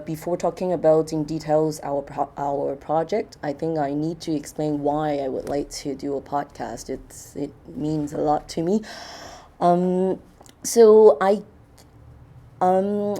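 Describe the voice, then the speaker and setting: Low, mellow-voiced woman from Hong Kong. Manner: hesitant, many fillers and restarts. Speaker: event presenter. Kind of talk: short talk to an audience.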